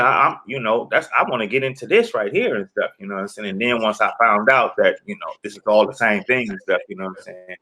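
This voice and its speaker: Relaxed Los Angeles man, low voice. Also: low-quality recording. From South Central Los Angeles. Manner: rambling, relaxed, heavy on "you know" fillers. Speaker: guest rapper. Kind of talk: casual interview talk.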